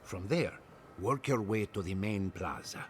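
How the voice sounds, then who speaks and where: An actor playing a solemn, resolute militia commander in a fantasy game cutscene. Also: male voice, fairly low pitch, lively intonation, measured pace, slight background noise. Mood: solemn, commanding. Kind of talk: dramatic video game cutscene acting.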